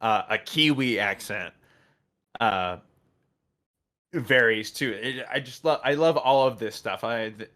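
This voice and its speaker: An American man talking casually as a podcast co-host, enthusiastic but halting, often repeating words as he works out his point. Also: medium pitch, pushed and bright. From United States. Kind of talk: casual chat about a TV show.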